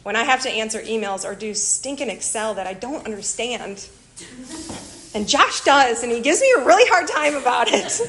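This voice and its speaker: Chicago woman, mid-high voice, chatty, animated presenter with self-deprecating asides. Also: moderate pace. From Illinois, USA. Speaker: missionary kids program leader. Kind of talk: informal church presentation to a group.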